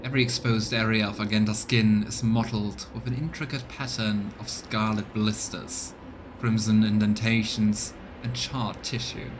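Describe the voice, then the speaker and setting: A man doing live let's play commentary, reading game text aloud and thinking out loud for his viewers. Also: fairly low pitch, level tone, normal speed, background noise. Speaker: live streamer voicing a game playthrough.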